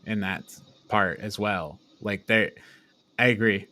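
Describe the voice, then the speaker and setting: A man's voice: lowish voice, slow pace, pushed and bright. A man hosting a casual movie review chat, talking in an agreeable, informal way with some casual swearing. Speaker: podcast host.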